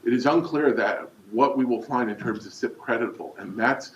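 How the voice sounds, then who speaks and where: A man representing an industry group, giving a measured, argumentative public comment at a meeting. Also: mid-range voice, noisy background. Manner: measured, policy-minded, argumentative. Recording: roomy, distant, dull hall mic.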